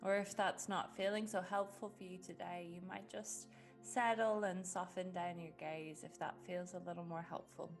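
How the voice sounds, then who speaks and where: A woman leading a guided meditation in a gentle, unhurried way with long pauses. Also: fairly low pitch, fairly even intonation. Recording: music behind the voice. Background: background music.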